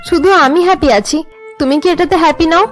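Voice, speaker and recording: A woman's voice: extremely high pitch, fast talker, faint music behind, voice pushed up. A woman voicing a tender, anxious young wife and mother in a cartoon melodrama. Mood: happy. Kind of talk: Bengali cartoon melodrama dubbing.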